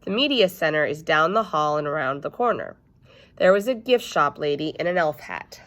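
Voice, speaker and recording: Animated low-pitched woman reading aloud. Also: normal speed. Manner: animated, emphatic. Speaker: read-aloud storyteller. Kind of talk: expressive children's book read-aloud.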